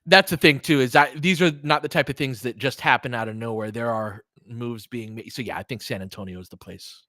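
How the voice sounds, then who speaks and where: Casting: American male sports streamer, bright forceful voice, close dry sound, informal play-by-play mixed with chat. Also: mid-range voice, brisk, dry recording. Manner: conversational, reacting to chat comments. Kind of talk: casual live sports commentary and chat.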